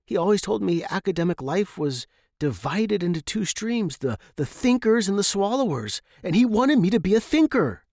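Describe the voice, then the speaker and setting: A man voicing an earnest, agitated young Victorian gentleman scholar with a proper, emphatic manner, in an audiobook story. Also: high voice, sing-song, moderate pace, no room sound, studio quality, voice pushed up, heavily acted. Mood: indignant.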